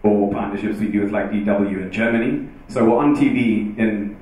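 A man in his thirties from Zimbabwe answers audience questions on stage in a relaxed, joking way, with many fillers. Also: lowish voice, normal speed. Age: 30s. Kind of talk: casual talk and Q&A on stage. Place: large dark event hall with audience. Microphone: handheld mic on stage, heard from afar. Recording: echoey hall, distant mic.

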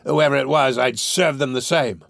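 A man voicing a violent, hulking housebreaker in a Victorian storybook, with a blunt, rough, threatening manner. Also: mid-range voice, moderate pace, a bit bright, no room sound, clean studio sound. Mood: impatient. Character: brutish, huge, violent male housebreaker, impatient and threatening. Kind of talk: dramatised audiobook of a Victorian novel.

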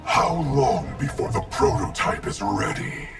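A man playing a stern, ruthless warlord in a video game, with a gravelly voice and grave, formal delivery. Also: medium pitch, animated, unhurried. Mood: cold, grave. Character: stern, menacing male warlord, ruthless and commanding. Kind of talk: video game fight intro dialogue. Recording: prominent music behind.

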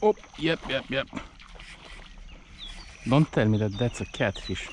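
Male angler vlogger, casual talk, mid pitch, faint outdoor noise. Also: expressive, measured pace. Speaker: angler filming own fishing vlog. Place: outdoors by a river.

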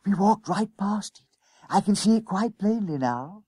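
Timid, fussy schoolboy sidekick, voiced by a man, plaintive and high, storybook delivery with a husky edge. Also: expressive, a little slow, dark tone, no echo, exaggerated voice. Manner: complaining, hesitant, politely fussy. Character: anxious, plaintive, literal-minded schoolboy sidekick.

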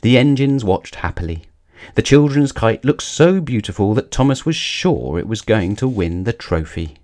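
A man reads a children's story aloud in a fairly low voice. His intonation swings widely and he leans dramatically on the action words, in an expressive storybook style. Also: normal speed.

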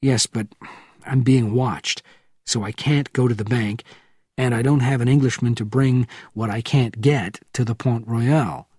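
A tough, terse agent speaks low and clipped, as a male narrator voices him. The voice has a husky, breathy edge, and the close recording has no reverb. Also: steady tone, unhurried, darkish tone. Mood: tense.

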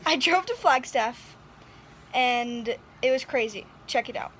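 A woman's voice: high voice, animated, slow pace, fairly bright tone, a little hiss or hum. A young woman vlogging casually about her trip, chatty and giggly, filmed on a phone at arm's length in a hotel room and a car. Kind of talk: casual travel vlog. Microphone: phone held at arm's length.

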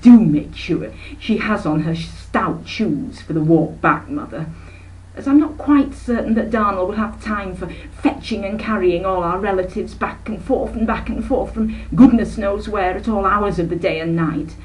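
Woman acting a gushing snobbish aunt, high-pitched. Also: moderate pace, darkish tone, far from the mic, natural voice, low-quality recording. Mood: breezy, fussy. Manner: gushing, pompous, talks in long runs. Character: breezy snobbish middle-aged aunt, flustered, self-important chatterer.

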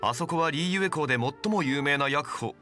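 An actor voicing a composed, learned gentleman in an anime game, formal, patient and measured. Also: on the high side, faint music behind.

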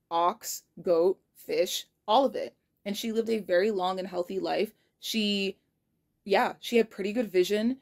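A woman's voice: mid-range voice, darkish tone. Young woman, twenties, kitchen phone vlog, sincere and blunt diary-style delivery. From United States. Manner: earnest and reflective, frank, spells out numbers carefully. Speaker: vlogger. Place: kitchen indoors. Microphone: phone held low at arm's length.

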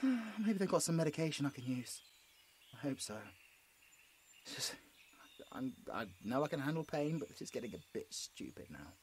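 A man acting a high-voiced, flustered British man. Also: very animated, normal speed, pauses often, a little hiss or hum. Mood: weary, resigned. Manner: stammering, flustered, exasperated. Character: nervous, squeamish, pleading young man held by a werewolf. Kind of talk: roleplay audio drama acting.

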